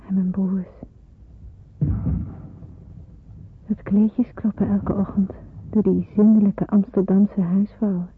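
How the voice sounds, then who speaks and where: An actress playing a devout, calm young woman in wartime hiding, narrating her thoughts in a measured, dreamy way for a radio play. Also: mid-range voice, close to an everyday voice. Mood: dreamy, melancholy. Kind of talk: radio play acting with reflective inner narration. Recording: tinny, noisy old radio play recording.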